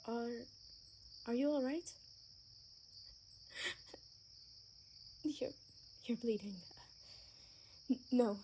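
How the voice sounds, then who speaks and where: A woman acting a gentle, sheltered young vampire daughter in an ASMR roleplay, speaking in a soft, earnest, hesitant way. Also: a little high. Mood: nervous. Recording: faint background noise with chirping crickets.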